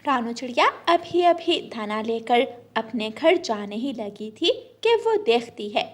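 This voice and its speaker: A woman reading scripted narration for a children's moral story. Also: on the high side.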